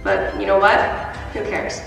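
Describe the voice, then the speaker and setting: A young woman performing a spoken word poem on a school stage, intense and building to forceful peaks. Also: monotone, unhurried, gravelly, faint music behind. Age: teens. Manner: intense, rising to forceful peaks, then dropping low. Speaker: student poet. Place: school stage with red curtain. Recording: echoey hall from far off.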